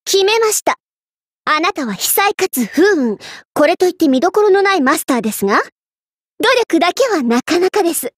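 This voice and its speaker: Haughty young Egyptian queen for an anime game: extremely high voice, theatrical commands, flustered pride, dry studio sound. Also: sing-song, moderate pace, no room sound. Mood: bossy. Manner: imperious, theatrical, tsundere. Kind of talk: Japanese anime game character voice acting.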